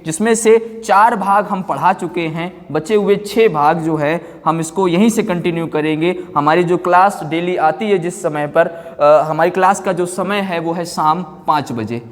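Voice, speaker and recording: A man's voice: high-pitched, a little slow, few pauses. A man teaching an online exam-prep class in an explanatory way, repeating himself and mixing in English terms. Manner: explanatory, repeats check-words and phrases. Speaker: physics teacher. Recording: long hall-like reverb.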